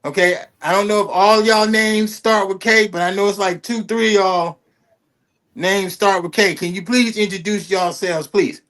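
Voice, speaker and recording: Male host, slightly high voice, eager and rambling. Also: steady tone, normal speed, fairly bright tone. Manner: enthusiastic, rambling, heavy fillers and repeats. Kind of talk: casual interview.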